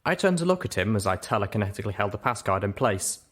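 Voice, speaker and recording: Male narrator, fairly low pitch, lively intonation, scripted storytelling, reading-show host. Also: fast, slightly rough.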